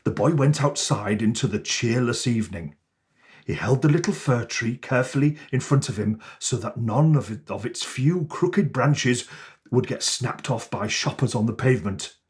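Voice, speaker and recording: A man reading a children's storybook as Father Christmas, with theatrical, deliberate and expressive phrasing. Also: medium pitch.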